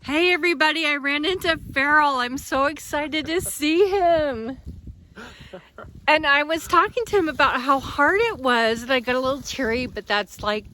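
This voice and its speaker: A woman's voice: high-pitched, normal speed, sharp tone, slight background noise. A woman vlogging outdoors on a mountain trail, narrating her hike in an upbeat, chatty way into a handheld camera. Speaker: thru-hiker vlogger. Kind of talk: casual vlog narration.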